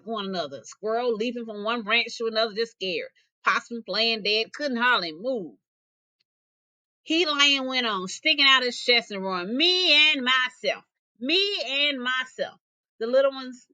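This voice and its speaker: Woman, bright forceful tone, expressive story reading, teacherly, dry close recording. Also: fairly high pitch, no room sound. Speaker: teacher reading stories aloud. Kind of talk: expressive folk-tale storytelling.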